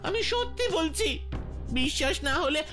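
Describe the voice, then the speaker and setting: An earnest young boy from a cartoon, voiced by a woman. The voice is very high, pleading and insistent, like an eager sidekick. Loud music plays behind it. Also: expressive, moderate pace. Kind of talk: cartoon voice acting.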